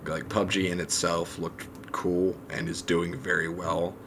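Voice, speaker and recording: A man's voice: sits low, flat delivery, measured pace, fairly bright tone, slight background noise. A man on a gaming podcast, chatting casually and giving his opinions. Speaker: podcast guest or panelist.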